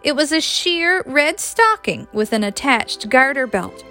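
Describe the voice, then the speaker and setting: A woman's voice: high voice, very animated, a little slow, pushed and bright, soft background music. A woman reading a scripted story aloud as a narrator.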